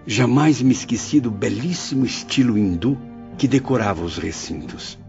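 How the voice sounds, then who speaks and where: A man's voice: mid-range voice, animated, moderate pace, vocal fry, darkish tone. A man playing a reflective spirit who narrates his memoir in formal, scripted audio drama style. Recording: dull audio under prominent music.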